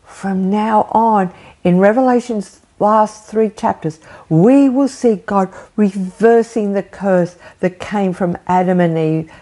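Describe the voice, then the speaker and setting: An older woman preaching a sermon from an armchair at home, talking with her hands and asking her listeners questions. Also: mid-range voice. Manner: animated, gesturing, rhetorical questions. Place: living room with bookshelf, indoors. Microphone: fixed camera framing, seated.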